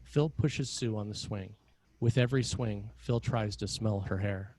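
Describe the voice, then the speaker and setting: A man with a lowish voice narrates a screenplay. He reads stage directions in a measured, dramatic style. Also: darkish tone, slight background noise.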